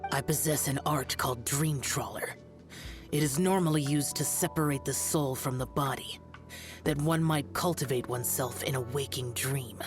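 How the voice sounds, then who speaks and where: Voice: mid-range voice, normal speed, over music, close to an everyday voice. An actor playing a stern, aloof, youthful demon-slaying guardian in a video game, solemn and formal. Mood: solemn, instructive.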